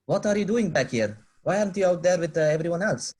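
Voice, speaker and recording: A man's voice: sits high, lively intonation, fast, darkish tone. A man reading the part of an earnest, polite teenage boy from abroad in a table read, formal and hesitant.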